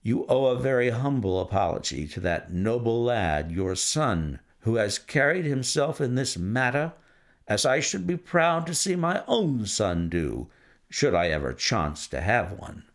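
A man voicing a calm, stern male detective who speaks in a measured, authoritative way. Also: medium pitch.

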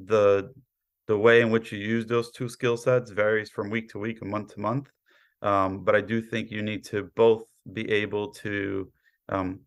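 A man's voice: fairly low pitch, fairly bright tone. An American man in his thirties answering interview questions conversationally, in a modest, explanatory way. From Brooklyn, New York, born in Poland. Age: 30s. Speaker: podcast guest, cyber insurance professional.

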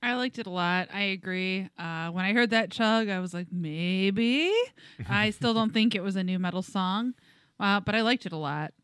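A woman's voice: mid-range voice, fairly quick, fairly bright tone, clean tone, no room sound, studio quality. A woman co-hosting a podcast in casual chat, with a playful, agreeable way of talking. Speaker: podcast co-host.

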